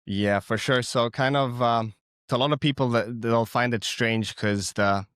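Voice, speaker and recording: A man's voice: mid-range voice, fairly even intonation, normal speed, a bit bright, clear, resonant tone, no room sound, clean studio sound. A man in his thirties from Cyprus, a podcast guest talking casually with frequent restarts and fillers. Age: 30s. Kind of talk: casual interview chat.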